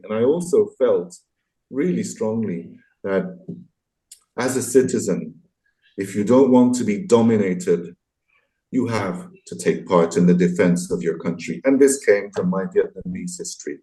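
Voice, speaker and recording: A man in his sixties with a low, soft-edged, mellow voice gives reflective interview answers. He is articulate and thoughtful, often searching for the right word, and gently self-deprecating. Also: moderate pace, rough recording. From France, partly raised abroad. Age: 60s. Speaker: interview guest, graphic novelist and illustrator.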